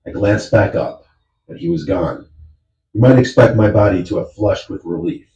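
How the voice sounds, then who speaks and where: A man reading a horror story in measured, even scripted narration. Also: lowish voice. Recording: dull, roomy, distant audio.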